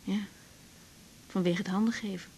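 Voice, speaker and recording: An actress playing a patient, practical young doctor in a radio drama, asking questions gently and hesitantly in Netherlands Dutch. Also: female voice, mid-range voice, steady tone, normal speed, a little hiss or hum, natural voice, poor audio. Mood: caring. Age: young adult. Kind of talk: naturalistic 1980s radio drama acting.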